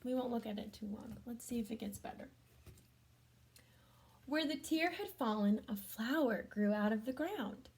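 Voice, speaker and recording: A woman reading a storybook aloud to children, adding gentle chatty comments and questions for young listeners. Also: a little high, expressive, normal speed, breathy edge. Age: adult.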